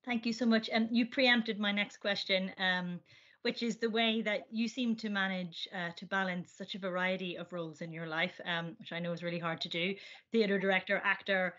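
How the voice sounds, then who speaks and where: A woman's voice: medium pitch, steady tone, moderate pace, fairly bright tone. A young woman asking earnest, polite, long-winded interview questions over a video call. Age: young adult. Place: room indoors at home. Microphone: video call computer mic. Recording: dull, lo-fi video call audio.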